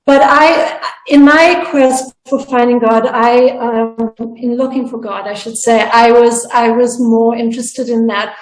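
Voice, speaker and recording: A woman's voice: a little high, fairly even intonation, a little slow, hardly any pauses, roomy sound, rough recording. A woman from South Africa, speaking earnestly as a panelist and sharing her own story, often restarting her sentences. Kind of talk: personal storytelling in a panel discussion.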